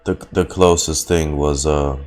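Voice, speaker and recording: A man with a low voice gives casual gameplay commentary as a streamer. He is playful and profane, with faint game music behind him. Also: fairly even intonation, measured pace, darkish tone. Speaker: gaming streamer. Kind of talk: casual gameplay commentary and reading dialogue aloud.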